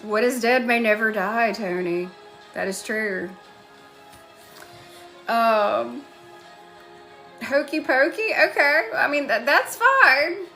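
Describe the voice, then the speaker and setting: A woman's voice: on the high side, animated, slow pace, pauses often, bright, forceful tone, soft background music. A woman live streaming, chatting casually and thanking viewers by name as she goes.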